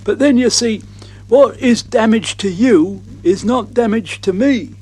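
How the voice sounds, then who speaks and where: A man's voice: high voice, unhurried, darkish tone, a little hiss or hum, pitched above the performer's own voice. A man voicing a cheeky male orangutan with quaint, folksy speech.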